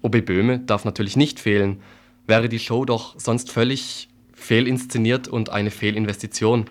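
A man with a fairly low voice works as a radio reporter. He delivers wry commentary in a dry, ironic manner. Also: steady tone, moderate pace.

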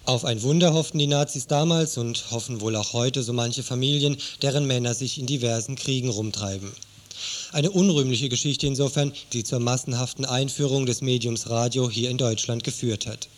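Man, radio feature narration, earnest, critical, medium pitch. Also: moderate pace. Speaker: radio news reporter.

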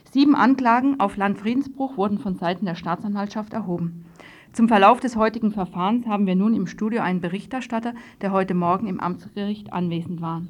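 Woman radio host, medium pitch, reading news bits and doing studio intros. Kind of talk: news magazine presenting, partly scripted.

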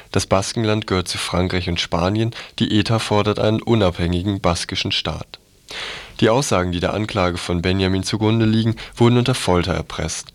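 Low-voiced man reading radio news.

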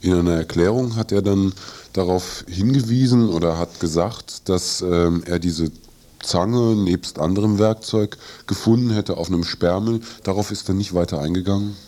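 A man's voice: low-pitched, normal speed, warm tone. A man hosting a radio interview, feeling his way through questions with hesitant, searching phrasing. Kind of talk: live radio interview, halting spoken questions.

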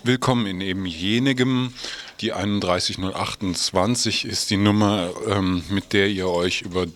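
Deep-voiced, tongue-in-cheek radio host. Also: male voice, steady tone, moderate pace, fairly bright tone. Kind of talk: informal radio moderation.

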